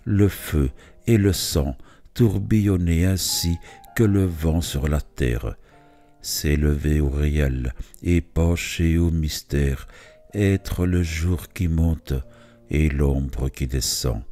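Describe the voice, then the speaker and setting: Man, very low pitch, bright crisp tone, measured poetry recitation, pausing between phrases, soft music behind. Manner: measured, phrase-by-phrase delivery with pauses. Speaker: poetry reader. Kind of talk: slow literary poetry recitation.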